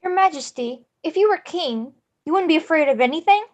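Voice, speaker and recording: Very high, earnest young farm girl, played by a woman. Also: animated, brisk, darkish tone. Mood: curious. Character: earnest, tender-hearted young farm girl, easily upset. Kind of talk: screenplay table read acting.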